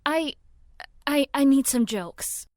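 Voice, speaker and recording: An actress playing an earnest young woman in an audio drama, urgent and expressive as she searches on behalf of her grandfather. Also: high-pitched, slow pace, no echo, studio quality. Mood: pleading, urgent. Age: young adult. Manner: talks to herself, gasping, urgent pleading.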